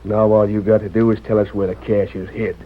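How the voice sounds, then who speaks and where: An actor playing a sly, mercenary crook in an old radio western, with an American accent and sardonic folksy slang. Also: male voice, fairly low pitch, little pitch movement, fairly quick, a little hiss or hum, natural voice. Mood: sly bargaining. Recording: thin, phone-like old radio broadcast.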